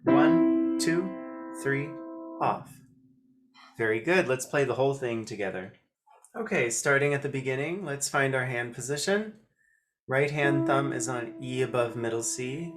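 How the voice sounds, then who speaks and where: Slow-paced man teaching piano step by step. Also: mid-range voice. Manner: deliberate, counting out notes and fingerings in short phrases. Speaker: piano teacher, host of video lessons. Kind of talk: step-by-step instructional demonstration. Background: piano notes.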